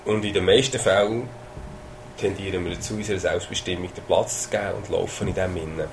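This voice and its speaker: A man's voice: deep voice, fairly even intonation, fairly quick, creaky, slight background noise, rough recording. A man with a Swiss German accent preaching a sermon, talking in a conversational way with hesitations and sudden emphasis. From Switzerland.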